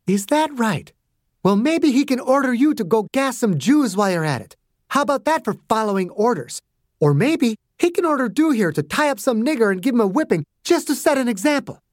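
A man voicing a small, fierce woman doctor who shouts, swears and accuses, in an audiobook. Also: very high-pitched, wildly swooping pitch, a little fast, husky, dry recording, studio quality, voice pushed up, full character voice. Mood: furious.

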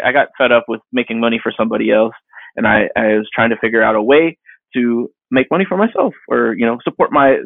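Man, 30s, gravelly voice, casual and rambling with lots of restarts; thin, phone-like sound. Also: medium pitch, dry recording. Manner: rambling, halting, with many fillers and restarts. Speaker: digital artist guest. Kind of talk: casual interview answers. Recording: dry tinny phone-like line.

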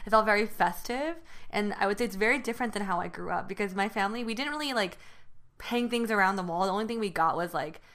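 A woman speaking in casual conversation about growing up. She talks fast and fluidly, filling gaps with quick fillers, and her pitch sits in an ordinary middle range. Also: fairly bright tone. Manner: fast, fluent talker with many fillers. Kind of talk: casual chat.

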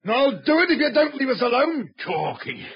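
A threatening brawler in an old-time radio play. His voice is extremely high for a man, loud and menacing, on a muffled recording. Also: sing-song, normal speed, breathy edge, full character voice. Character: hot-tempered, threatening male brawler.

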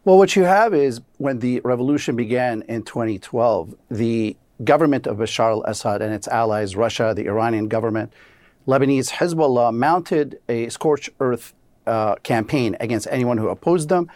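A man from Syria answering as a policy expert guest in a news interview, explaining earnestly and gravely. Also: mid-range voice, normal speed, a little mellow, no echo.

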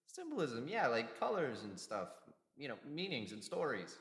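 A man's voice: mid-range voice, huge pitch swings, moderate pace, a bit bright. An actor playing a jittery, sarcastic, squeamish man who whines his way through an audio drama. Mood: uneasy. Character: jittery, wisecracking, squeamish man on a creepy quest. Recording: echoing hall, long reverb.

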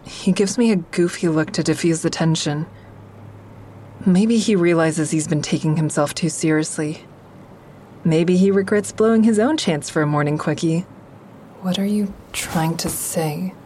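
A woman's voice: lowish voice, a little slow, dark tone, a little hiss or hum, lightly acted. An actress playing a frank, sardonic young woman who narrates her own story in an audio drama in a confessional, wry way. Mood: wry, guarded. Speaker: actor in a fiction podcast.

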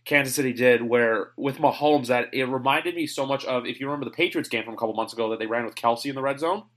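A man's voice: mid-range voice, quick pace, fairly bright tone. Chatty man hosting a sports podcast. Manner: chatty, rambling, opinionated. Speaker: podcast host. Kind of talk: casual sports chat.

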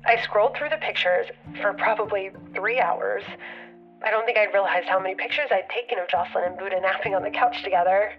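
A woman's voice: mid-range voice, fairly quick, lightly acted. An actress with an American accent playing an anxious, grieving woman leaving a hesitant, rambling, confessional voicemail in an audio drama. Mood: wistful. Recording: muffled lo-fi phone message with music behind.

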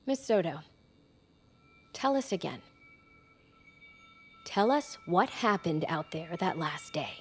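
A woman's voice: fairly low pitch, expressive, slow, long pauses, soft background music. A woman acting a skeptical, pressing government interrogator in an audio drama, formal, clipped and accusing.

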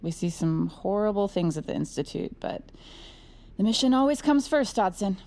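A woman's voice: medium pitch, expressive, normal speed, mellow, lightly acted. A woman playing a chummy superior agent in an audio drama, talking in a casual, wry, coaxing way. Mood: chummy, confiding. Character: breezy, chummy, sly superior female agent. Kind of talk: scripted audio drama acting.

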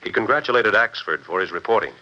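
Man as a deep, rapid-fire radio narrator. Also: lively intonation, natural voice. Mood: brisk. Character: brisk radio serial narrator. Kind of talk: 1940s radio announcing, scripted narration. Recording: muffled old radio broadcast with hiss.

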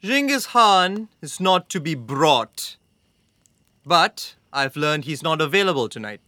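A man's voice: on the high side, sing-song, takes it slowly, bright, forceful tone, no room sound, clean studio sound, heavily acted. A man playing a stern, scornful young camp guard in an audio drama, with a formal, curt, intense manner.

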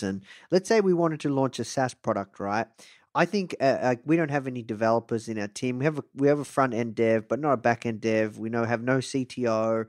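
A man hosting a podcast interview, talking in a relaxed, conversational way with lots of filler phrases. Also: medium pitch, dry recording, clean studio sound. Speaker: podcast host. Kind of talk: casual interview chat.

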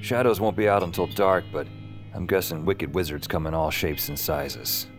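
A man's voice: low-pitched, moderate pace, lightly acted. An actor playing an anxious night-shift dispatcher, narrating his misadventures in dry, clipped sentences. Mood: sour, wry. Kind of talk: first-person noir-comic audio drama narration. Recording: music under the voice.

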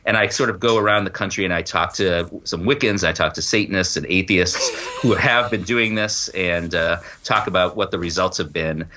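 A man being interviewed as a guest author, explaining things casually and haltingly, with informal asides. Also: low voice, bright, forceful tone, slight background noise. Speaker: podcast guest, law professor and author.

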